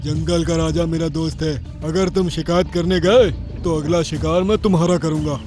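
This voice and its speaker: Man voicing a sly high-pitched bully wolf. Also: steady tone, normal speed, a little mellow, soft background music, natural voice. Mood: menacing swagger. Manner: swaggering, mocking, chuckling slyly. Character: sly arrogant bullying male wolf, smug villain. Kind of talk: Hindi children's cartoon voice acting.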